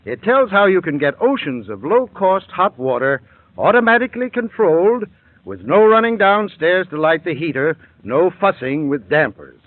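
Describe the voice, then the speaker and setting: Male sponsor spokesman, 1940s radio ad, higher voice. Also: dry recording. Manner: earnest, persuasive. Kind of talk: scripted radio advertisement. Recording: tinny dry old radio broadcast.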